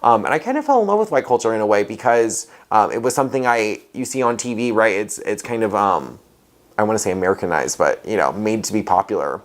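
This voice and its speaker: A young man in his twenties from Hawaii, talking in a casual oral history interview, often pausing with fillers and restarting. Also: lowish voice, lively intonation. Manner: hesitant, many um fillers and restarts.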